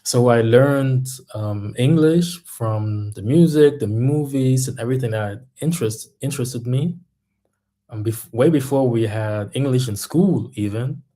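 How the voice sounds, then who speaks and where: Slow, mellow man. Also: mid-range voice. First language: German. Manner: thoughtful, searching for words. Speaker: mindset coach and music artist. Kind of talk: casual reflective monologue.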